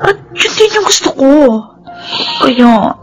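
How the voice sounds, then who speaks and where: A woman's voice: high-pitched, expressive, slow pace, over music, rough recording. A woman playing a judgmental young girlfriend in a Filipino radio drama, regretful yet dismissive as she speaks. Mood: regretful, disdainful. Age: young adult. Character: apologetic but judgmental young girlfriend rejecting her lover.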